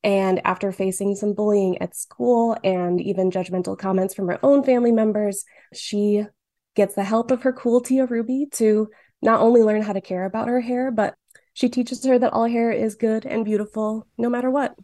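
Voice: mid-range voice, fairly even intonation, normal speed, mellow, no room sound, clean studio sound. A cartoonist in their late twenties from Michigan, answering interview questions in a chatty way with lots of fillers. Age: late 20s. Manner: chatty, many fillers, laughs often. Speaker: guest cartoonist.

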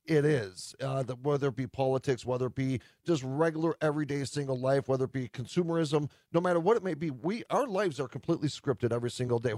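A man's voice: fairly high pitch, darkish tone, no room sound, clean studio sound. A man co-hosting a talk radio show, speaking in an impassioned, emphatic way. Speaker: radio co-host. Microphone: studio mic.

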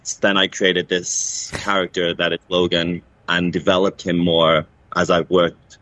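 A man's voice: deep voice, flat delivery, unhurried, fairly bright tone, no room sound, poor audio. A man from Liverpool in England, a cabaret MC, talking casually as an interview guest.

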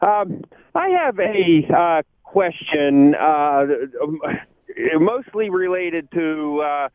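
A man's voice: on the high side, big pitch swings, drawn-out words, no echo. A man calling in to a radio advice show, hesitant and searching for words. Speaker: caller. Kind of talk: phone call to a radio show. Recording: tinny phone line.

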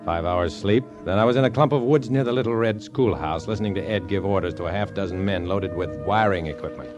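An actor playing a guarded, dry-witted undercover agent and narrator in 1950s radio drama style, terse and cool. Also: male voice, sits low, fast, over music, close to an everyday voice. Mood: tense narration. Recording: old 1950s radio recording.

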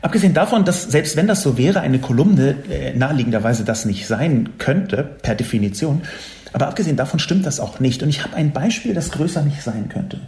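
A man from West Berlin, a columnist, giving an argumentative monologue with sharp emphatic peaks and frequent filler sounds. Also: fairly high pitch, expressive, brisk, reverberant. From West Berlin, Germany. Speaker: columnist responding to reader comments. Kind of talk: monologue commentary.

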